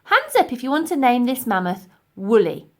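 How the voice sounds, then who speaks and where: Plucky young storybook girl, voiced by a woman: kind, earnest, determined, expressive pitch. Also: moderate pace.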